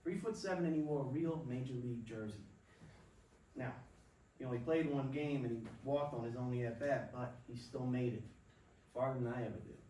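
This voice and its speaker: A man playing a warm, encouraging father who gives folksy, coaxing pep talks in a staged play reading. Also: medium pitch, brisk, lightly acted. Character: warm, encouraging Bronx father, former minor-league ballplayer. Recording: echoey room, far mic, faint noise.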